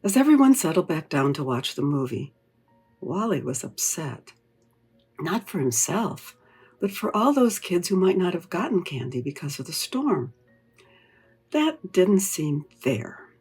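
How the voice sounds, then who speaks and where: A woman narrating a children's storybook in an expressive read-aloud manner. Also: fairly low pitch, measured pace, breathy edge, soft background music.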